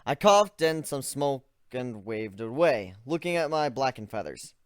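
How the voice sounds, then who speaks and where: A man narrates a first-person fantasy story in a measured reading voice. His pitch moves a lot, sinking low and soft when the tension builds. The recording is dry and close to the mic. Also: sing-song, clean tone, dry recording. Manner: measured, drops low and quiet on tense moments.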